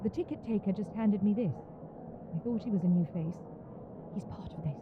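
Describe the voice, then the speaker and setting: A woman's voice: medium pitch, a little fast, narrow, tinny audio, background noise, lightly acted. A woman playing a calculating woman criminal. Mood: cool. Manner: cool, calculating. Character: cool, mercenary woman criminal.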